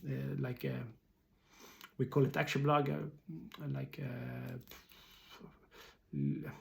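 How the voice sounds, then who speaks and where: A man with a Swedish accent giving an informal explanatory business talk in halting, hesitant non-native English phrasing. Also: mid-range voice, flat delivery, gravelly. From Sweden.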